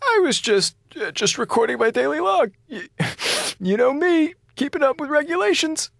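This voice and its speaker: An actor in a radio drama playing a sick, captive space station officer, sarcastic, rambling and frantic. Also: male voice, very high-pitched, sing-song, moderate pace, gravelly, fairly bright tone, dry recording, clean studio sound, heavily acted. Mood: nervous bluffing. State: feverish, coughing.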